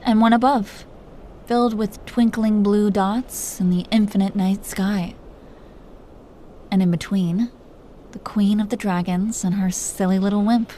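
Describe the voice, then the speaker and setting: A protective dragon queen, played by a woman, speaks to her lover in a mid-pitched voice. She is doting and playfully teasing, in the intimate style of roleplay audio. Faint ambient noise sits behind her. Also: fairly even intonation, unhurried, dark tone, close to an everyday voice. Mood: wistful, affectionate. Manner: tender, playfully teasing, breathy whispers at times.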